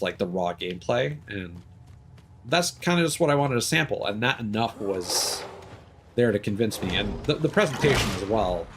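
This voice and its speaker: Young guy streamer, lowish voice, rambly with lots of ums. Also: breathy edge. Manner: rambling, many fillers, reads item text aloud. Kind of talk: casual gameplay commentary.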